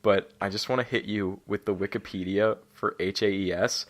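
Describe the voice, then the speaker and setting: A man's voice: on the low side, steady tone, normal speed. A man chatting casually as a podcast guest, jokey and prone to giggling. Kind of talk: casual chat.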